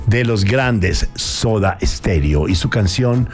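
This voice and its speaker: A man hosting a morning radio show, chatting about sports in an animated way and speaking straight to listeners. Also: fairly low pitch, takes it slowly. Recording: music bed behind the voice. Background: background music.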